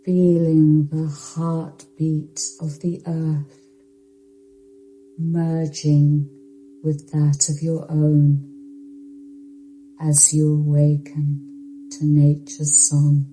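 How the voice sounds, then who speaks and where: Woman, meditation guide, extremely soft dark tone, little pitch movement, soothing, hushed, long pauses. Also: monotone, slight background noise, rough recording. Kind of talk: yoga nidra guided meditation, scripted narration.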